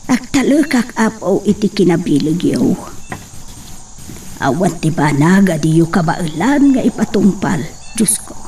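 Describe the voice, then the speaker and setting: A woman's voice: lowish voice, big pitch swings, a little slow, warm tone, far from the mic, slight background noise. A woman playing a worried, pious mother in a radio drama, pleading and lamenting. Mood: anxious, prayerful.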